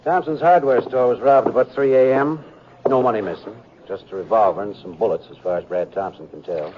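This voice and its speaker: A man's voice: on the low side, fairly quick, close to an everyday voice. Man acting a weary, folksy western sheriff. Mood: businesslike. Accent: American. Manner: plain-spoken, folksy. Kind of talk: 1950s radio western acting. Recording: muffled 1950s radio recording, faint noise.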